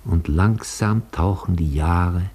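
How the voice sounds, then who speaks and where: An old retired opera tenor in a radio drama, gentle and lost in memory. His deep, husky voice proceeds very slowly, with courteous, halting phrases. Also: heavily acted. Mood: dreamy. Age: older. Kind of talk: German radio play acting.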